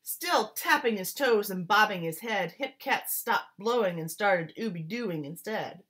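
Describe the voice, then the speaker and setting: Expressive woman reading a story aloud. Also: mid-range voice, measured pace, breathy edge. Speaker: teacher reading a picture book aloud.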